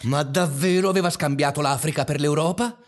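An actor playing a brooding schoolteacher, narrating and talking insistently in a colloquial way in a radio drama. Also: male voice, a little high, a little fast, soft background music, lightly acted. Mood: baffled. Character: brooding male schoolteacher fixated on a pupil's mistake.

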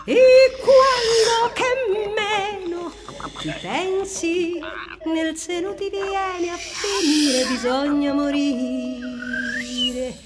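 A woman's voice: very high-pitched, drawn-out words, heavily acted. A woman playing a singing siren island that chants a lament on inevitable death in a radio drama. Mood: mournful singing. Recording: music behind the voice.

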